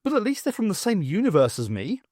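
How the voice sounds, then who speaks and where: A man voicing an excitable, mocking, adventurous woman in an audiobook, with theatrical exclamations. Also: high-pitched, quick pace, dry recording, clean studio sound, voice pushed up, heavily acted. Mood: excited.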